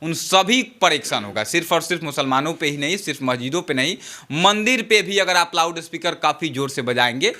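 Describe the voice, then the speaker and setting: A man's voice: on the high side, lively intonation, brisk, bright, forceful tone. A man presenting and explaining political news in an emphatic, repetitive way.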